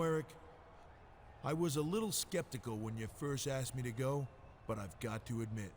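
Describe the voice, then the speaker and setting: A man's voice: mid-range voice, lightly acted. A man playing a cocky, hot-tempered pro wrestler in a video game, blunt and confrontational. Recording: noisy game audio.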